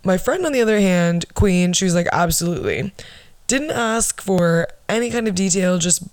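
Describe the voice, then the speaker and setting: A young woman hosts her own podcast with a low alto voice, in a casual, rambling way. She swears freely and pokes fun at herself. The sound is dry and close, with no room around it. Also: normal speed, darkish tone, no echo. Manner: rambling, profane, self-mocking. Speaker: podcast host. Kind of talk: casual storytelling monologue.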